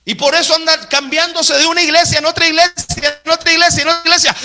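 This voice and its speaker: Male preacher, very high bright voice, emphatic sermon delivery that hammers repeated phrases. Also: little pitch movement, fast talker. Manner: acts out Bible dialogue. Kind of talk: sermon to a live congregation.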